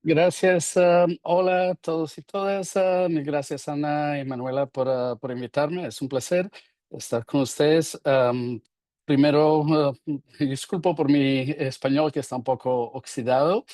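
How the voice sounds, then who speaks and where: A middle-aged Italian man with an Italian accent, giving a webinar talk as a professor, speaking slowly with many fillers while he searches for words. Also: on the high side, fairly even intonation, darkish tone, no echo, clean studio sound. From Italy. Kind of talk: webinar presentation.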